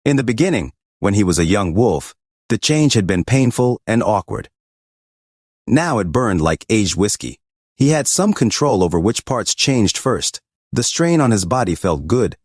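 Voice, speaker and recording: A man's voice: deep voice, no echo, clean studio sound. A man narrating fiction in a scripted, dramatic audiobook style.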